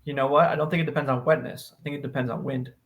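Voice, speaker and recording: An American man co-hosts a podcast in an easygoing, conversational style. His voice sits at a middling male pitch, and he talks informally, as if with an old friend. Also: steady tone, rapid-fire, a bit bright. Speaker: podcast co-host. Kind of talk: casual banter between friends.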